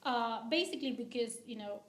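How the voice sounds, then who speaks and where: A woman from Argentina giving academic commentary as a discussant, halting often with restarts and fillers. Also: a little high, roomy sound. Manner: hesitant, many restarts and fillers. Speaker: discussant at a book talk.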